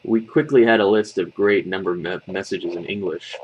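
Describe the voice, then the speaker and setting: A man's voice: low-pitched, normal speed, darkish tone, dull audio, slight background noise. A man reading a scripted creepypasta as a horror story narrator.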